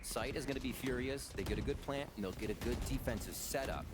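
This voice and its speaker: A man giving measured, explanatory color commentary on an esports match. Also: mid-range voice, over loud music. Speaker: esports caster. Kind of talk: analytical commentary.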